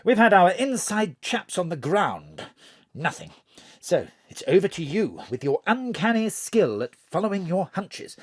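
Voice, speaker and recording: An actor playing an excitable, flowery middle-aged government chief in an audio drama, with theatrical, flustered phrasing. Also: male voice, high-pitched, unhurried, slightly rough, no room sound, studio quality, full character voice. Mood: baffled, manic. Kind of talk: audio drama acting over a phone call.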